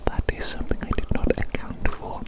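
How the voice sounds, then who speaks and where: Man playing a whispering mad scientist. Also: lightly acted. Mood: musing, concerned. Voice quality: breathy unvoiced whisper. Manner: theatrical, muttering, repeats phrases deliberately. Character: eccentric male mad scientist, obsessive, gleefully reckless. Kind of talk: ASMR roleplay, whispered. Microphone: close microphone.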